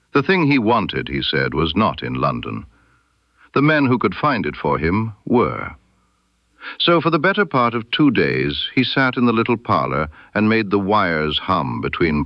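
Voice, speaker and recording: Man, low voice, expressive detective audiobook narration, measured storyteller, dull close dry recording. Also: no room sound. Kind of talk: scripted detective story narration, reading as Dr. Watson.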